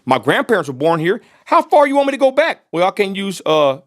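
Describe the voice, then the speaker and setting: A man's voice: high-pitched, big pitch swings, fairly quick. A man with a West Texas accent hosting a casual livestream. He rants bluntly and swears freely, speaking straight to the viewers. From Texas, USA. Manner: blunt, profane, heated ranting. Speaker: livestream host. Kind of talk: casual ranting livestream commentary.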